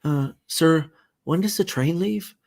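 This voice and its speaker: A man's voice: takes it slowly, creaky. A man voicing a young girl who is eager and fidgety, stammering in a raised voice. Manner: stammering, high and excited.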